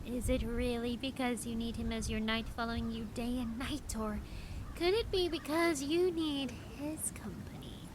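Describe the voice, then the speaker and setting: A woman playing a sly, bossy female confidante in a fan audio drama, teasing with drawn-out, pointed questions. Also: on the high side, background noise, lightly acted.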